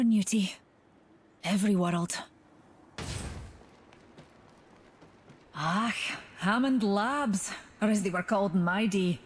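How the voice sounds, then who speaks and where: A woman's voice: mid-range voice, darkish tone, lightly acted. An actress voicing an eccentric, motherly scientist in a video game, with a Scottish accent and a wistful, theatrical, musing delivery. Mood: whimsical, musing. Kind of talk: game character monologue. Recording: faint noise and ambience behind.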